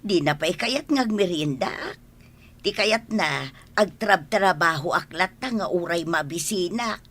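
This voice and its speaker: Casting: older household woman, lower voice, radio drama. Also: expressive, measured pace, fairly bright tone, full character voice. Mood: worried. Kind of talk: Filipino radio drama acting.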